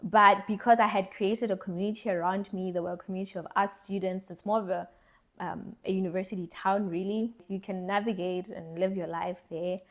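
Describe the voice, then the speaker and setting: A young woman from South Africa giving a candid, reflective vlog monologue, earnest and thoughtful, often pausing and restarting her words. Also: mid-range voice, fairly even intonation. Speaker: vlogger.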